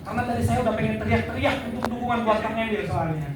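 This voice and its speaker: A man's voice: sits high, rapid-fire, very noisy. A man working as emcee at a community gathering, energetically leading the crowd in call-and-response chants. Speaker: event emcee. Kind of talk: hyping a campaign gathering. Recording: echoey hall miked from far off. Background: lively crowd.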